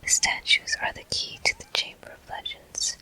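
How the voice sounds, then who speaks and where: A gaming host giving gentle, soothing ASMR commentary in an unhurried, breathy, unvoiced whisper.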